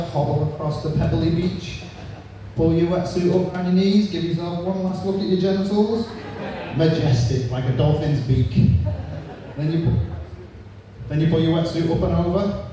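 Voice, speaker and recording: Man, 30s, Manchester accent, stand-up comic, punchy crude jokes, noisy reverberant pub stage. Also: on the high side. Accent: Manchester English. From England. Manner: punchy crude joke delivery. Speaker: stand-up comedian. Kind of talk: stand-up set. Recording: echoey room from far off, noisy.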